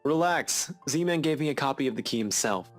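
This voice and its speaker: A man's voice: medium pitch, animated, moderate pace, faint music behind, lightly acted. A man voicing a cocky, laid-back young friend in a video game. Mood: breezy.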